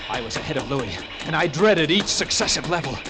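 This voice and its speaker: An actor playing a lighthouse keeper who narrates his ordeal in hushed, dread-filled tones, in 1940s radio acting style. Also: fairly high pitch, expressive, a little fast, natural voice. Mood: tense. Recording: old radio recording with music.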